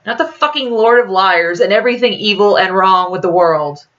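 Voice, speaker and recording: A woman's voice: medium pitch, moderate pace, fairly bright tone, distant mic, voice pushed up, close to an everyday voice. A woman voicing a wary, accusing man in a fiction reading, with a biting, demanding manner. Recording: dull lo-fi audio.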